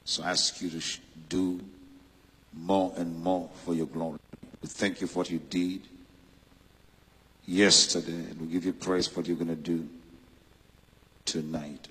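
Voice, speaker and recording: Ugandan-born preacher in a reverberant hall. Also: male voice, low voice, flat delivery, a little slow, pauses often, slightly rough. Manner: fervent, slips into loud shouted preaching and scripture reading. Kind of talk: sermon. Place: church hall.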